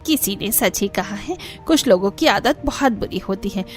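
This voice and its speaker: A woman narrating an animated story from a script, with music underneath. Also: medium pitch, moderate pace.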